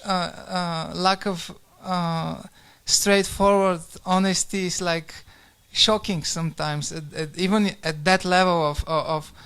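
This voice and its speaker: High, clear-voiced man, halting. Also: steady tone, a bit bright. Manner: halting, searching for words. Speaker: participant in a group discussion. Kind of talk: casual, informal discussion.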